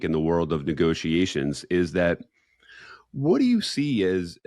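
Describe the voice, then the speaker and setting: Deep-voiced male podcast host. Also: no room sound, clean studio sound. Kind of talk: interviewing a guest.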